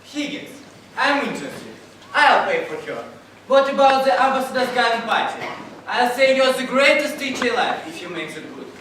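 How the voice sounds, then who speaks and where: An actor playing a courteous, genial colonel and scholar with a polite manner. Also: male voice, very high voice, big pitch swings, moderate pace, pushed and bright, very echoey, distant mic, a little hiss or hum, heavily acted, low-quality recording. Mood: eager.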